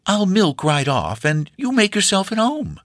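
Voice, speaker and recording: A man's voice: sits high, wildly swooping pitch, measured pace, a bit bright, no room sound, clean studio sound, voice pushed up, exaggerated voice. A man voicing an elderly, hospitable country grandmother who talks in a folksy rural way. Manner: folksy rural scolding and offering.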